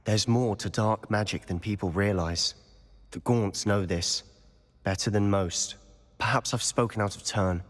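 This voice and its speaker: A man's voice: fairly low pitch, measured pace, close to an everyday voice. A man playing a brooding, persuasive teenage wizard in a video game, serious and confiding in manner. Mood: grave, confiding. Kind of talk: video game cutscene acting. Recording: long hall-like reverb.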